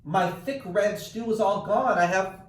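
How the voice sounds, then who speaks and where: A man's voice: sits high, measured pace, voice pushed up. A man voicing a generous, earnest woman cook from a children's storybook. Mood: sad, apologetic. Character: generous, gentle, earnest woman who cooks stew. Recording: echoey room, distant microphone.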